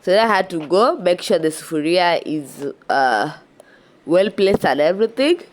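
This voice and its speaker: A young Kenyan woman with a Kenyan accent narrating her outdoor cooking vlog in a relaxed, chatty way, a little stuffy from a cold. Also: fairly low pitch, measured pace. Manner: relaxed and chatty, with frequent "yeah" and restarts. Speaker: cooking vlogger. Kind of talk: casual voice-over narration of a cooking video.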